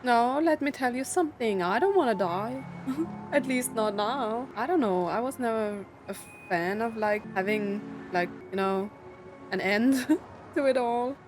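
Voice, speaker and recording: A woman's voice: a little high, lively intonation, a little slow. A woman streamer casually reacting to a game, emotional and hesitant as she speaks. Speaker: gaming streamer. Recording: loud game music behind the voice.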